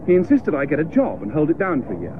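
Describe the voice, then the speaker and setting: An actor playing an earnest, lovelorn young upper-class English gentleman in a radio comedy, plaintive and politely understated. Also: a little high, huge pitch swings, fast talker. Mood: explanatory. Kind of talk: radio comedy drama acting. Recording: muffled, noisy radio play recording.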